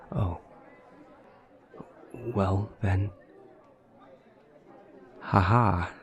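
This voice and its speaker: A man playing an awkward, literal-minded demon college student in an audio roleplay, speaking in a halting, formal, earnest way. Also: low voice. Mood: puzzled, earnest. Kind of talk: roleplay audio drama acting.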